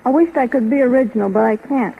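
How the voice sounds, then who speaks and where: Husky young woman on tinny old radio. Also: on the high side, quick pace. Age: 20s. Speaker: interview guest. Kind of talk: scripted-sounding 1930s radio interview.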